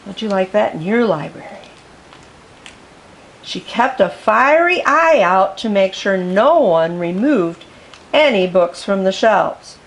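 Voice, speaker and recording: A woman reading a picture book aloud to children in an expressive way, pausing to explain words. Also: medium pitch, slight background noise. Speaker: storyteller.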